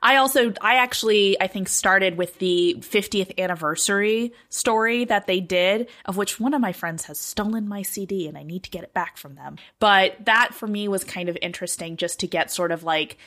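A woman's voice: mid-range voice, normal speed, hardly any pauses, fairly bright tone. A woman co-hosting a podcast, talking in a casual, conversational way. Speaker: podcast co-host.

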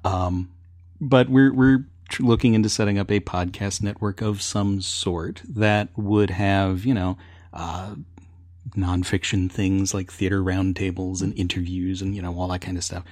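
A man with a fairly low voice talks casually as a podcast panelist. He tells stories with animation, slipping into mimicked reactions, and the sound is close and dry. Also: fairly even intonation, measured pace, a little mellow, dry recording. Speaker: podcast panelist and radio drama writer.

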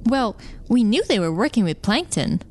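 A woman's voice: fairly high pitch, big pitch swings, moderate pace, darkish tone, a little hiss or hum. An actress playing an anxious young woman in an audio drama.

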